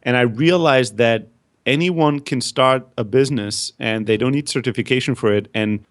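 A man from Greece tells his story as a guest on a podcast. He talks with candid, energetic self-deprecation. His voice sits at a middle pitch. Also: moderate pace. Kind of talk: casual interview storytelling.

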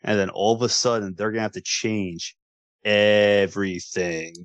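Man, American accent, low pitch, casual sports co-host, agreeable, halting and repetitive, dry sound. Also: steady tone, no room sound. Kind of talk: casual chat.

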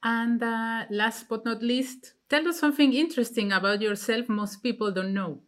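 A woman's voice: on the high side, normal speed. A woman hosting an interview, agreeing often and asking follow-up questions. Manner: agreeable, many short affirmations. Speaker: interview host. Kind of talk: casual interview chat.

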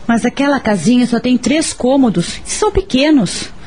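A concerned, caring godmother played by an actress in a radio soap opera. Her voice is high, with faint noise in the background. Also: expressive, moderate pace, darkish tone, natural voice.

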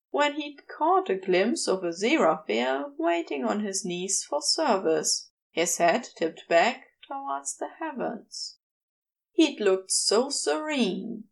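A woman narrating a scripted story as an audiobook reader, in a measured delivery. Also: on the high side, gravelly. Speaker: audiobook reader of fan fiction.

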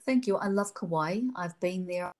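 A woman's voice: fairly low pitch, measured pace. A woman from New Zealand with a New Zealand accent, hosting an interview conversation and asking questions in an effusive, enthusiastic way. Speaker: podcast host.

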